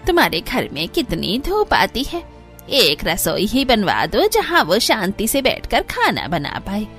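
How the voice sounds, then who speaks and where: A woman's voice: mid-range voice, huge pitch swings, unhurried, a bit bright, soft background music, exaggerated voice. A woman voicing a chatty, nosy but sympathetic village neighbour in a cartoon. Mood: concerned.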